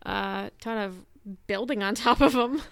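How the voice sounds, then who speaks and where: A woman with a bright, forceful voice and plenty of vocal fry co-hosts a casual fan chat. She comes across as playful and informal, recorded dry. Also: mid-range voice, measured pace, no echo. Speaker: podcast co-host.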